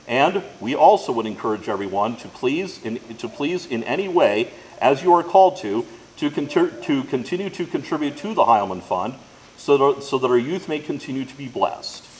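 A man speaking formally and in a measured way as a worship leader, reading announcements aloud to a congregation. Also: mid-range voice, poor audio. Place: church during a service.

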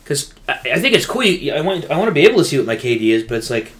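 A man's voice: a little high, very animated, rapid-fire, a bit bright. A man chatting casually as a gaming podcast co-host, rambling and venting emphatically in slangy language.

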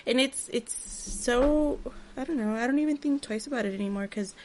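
Relaxed woman chatting as a guest. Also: a little high, moderate pace, slight background noise. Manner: relaxed and agreeable, brief replies. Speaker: podcast guest. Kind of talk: casual chat.

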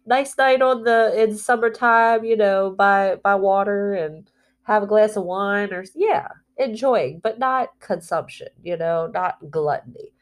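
A woman talking candidly in a personal spiritual vlog, rambling and heartfelt, with fillers, restarts and soft laughs between phrases. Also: mid-range voice, measured pace. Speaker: spiritual vlogger.

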